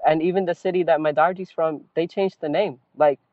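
Californian guy chatting on a call, tinny phone-line audio, dry sound, super animated. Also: fairly high pitch, fairly quick, dry recording. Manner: animated storytelling, frequent "like" and "right?". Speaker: poet and activist guest. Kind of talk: casual interview talk.

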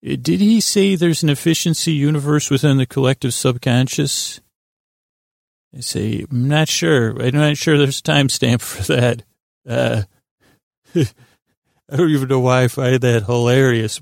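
A man hosting a sleep podcast in lulling, soothing tones, meandering and halting through a gently self-deprecating monologue. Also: mid-range voice, vocal fry, no room sound, clean studio sound. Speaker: podcast host.

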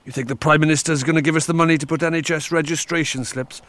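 Actor doing a dismissive sarcastic politics guy, period drama, talks fast, bit of background noise. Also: fairly high pitch, steady tone, a bit bright, lightly acted. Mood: scornful, incredulous. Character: scornful, sceptical male political colleague. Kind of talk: acted historical drama dialogue.

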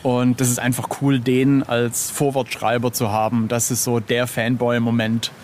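A middle-aged man talking casually as an interview guest, enthusiastic like a devoted fan and often pausing mid-thought. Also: mid-range voice, little pitch movement, a little mellow, slight background noise. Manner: enthusiastic fan talk, halting. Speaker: interview guest, artist and author. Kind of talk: casual chat.

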